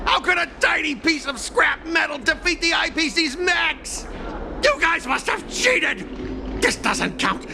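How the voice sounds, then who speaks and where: An actor voicing a petty, boastful video game villain and sore loser, theatrical and prone to shouting. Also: male voice, very high-pitched, gravelly, background noise, exaggerated voice. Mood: furious disbelief. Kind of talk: video game voice acting, melodramatic villain monologue.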